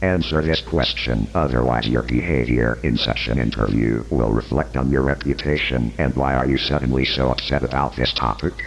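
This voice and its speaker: A man's voice: extremely low pitch, fairly even intonation, normal speed, few pauses, slight background noise. A man playing a persistent, probing interrogator who questions formally and insistently in a scripted horror interview.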